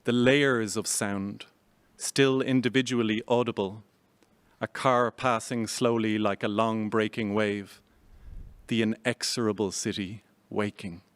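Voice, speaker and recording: Irish guy reading his poem on stage, slow and measured, close dry sound. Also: lowish voice, steady tone, clean tone, no echo. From Ireland. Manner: measured, unhurried recitation. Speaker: poet reading his own work. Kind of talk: poetry reading. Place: theatre stage.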